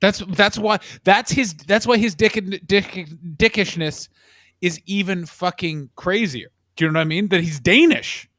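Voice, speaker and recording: A man co-hosting a casual comedic chat, excitable and quick to swear and joke. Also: high-pitched, dry recording, studio quality. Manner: excitable, swears freely, jokey impressions. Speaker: podcast co-host.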